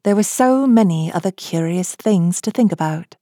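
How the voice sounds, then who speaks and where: A woman reading a children's classic aloud as gentle storybook narration. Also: lowish voice, lively intonation, normal speed, no room sound, studio quality. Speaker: audiobook reader.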